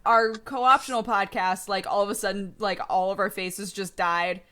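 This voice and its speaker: A woman's voice: medium pitch, steady tone, moderate pace, sharp tone. A woman hosting a casual online podcast, flustered and laughing through technical trouble, talking over a computer mic. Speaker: podcast host. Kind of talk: casual chat.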